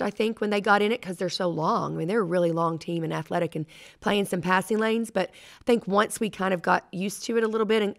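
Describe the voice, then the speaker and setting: Brief: middle-aged female coach, low-pitched, halting press answers at a desk mic. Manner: measured, many ums and restarts. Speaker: head basketball coach. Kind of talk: post-game press conference answers. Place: press room at a table. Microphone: desk mic on a stand.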